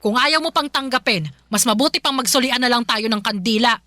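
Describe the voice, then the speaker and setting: A woman's voice: on the high side, brisk, close to an everyday voice. An actress playing a kindly but firm middle-aged godmother in a radio drama, protesting with exasperation in a Filipino accent. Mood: grudging, firm. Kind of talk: radio drama acting in a love story podcast.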